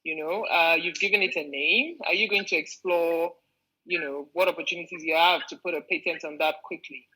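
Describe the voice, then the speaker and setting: A woman interview host with a Yoruba-inflected Nigerian English accent, speaking over a video call. She is chatty and playful and laughs easily. Her voice is bright and sits slightly low. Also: fairly quick, slightly rough, poor audio. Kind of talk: casual interview chat.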